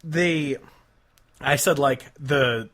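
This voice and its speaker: A man with a mid-pitched voice talks casually as a podcast co-host. He is blunt and exasperated, grumbling his way through his opinions and swearing freely. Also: drawn-out words, fairly bright tone. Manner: blunt, profane, exasperated complaining. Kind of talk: casual music discussion.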